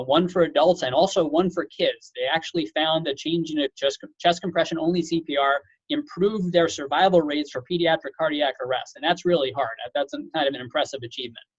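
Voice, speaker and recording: A man's voice: on the high side, dry recording, lo-fi sound. A man giving an informal webinar lecture as a physician, explaining things conversationally with casual asides. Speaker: physician lecturer, medical director.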